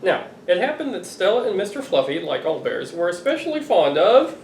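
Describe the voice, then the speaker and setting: A middle-aged man reading a picture book aloud to children, expressive and playfully exasperated. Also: sits high, a little fast. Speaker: father reading to his children. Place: living room sofa, indoors. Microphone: camera a few feet away. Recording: roomy, distant home recording with noise.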